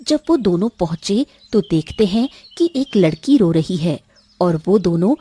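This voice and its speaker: Female cartoon narrator: scripted storytelling, unhurried and mellow. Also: mid-range voice, lively intonation, breathy edge.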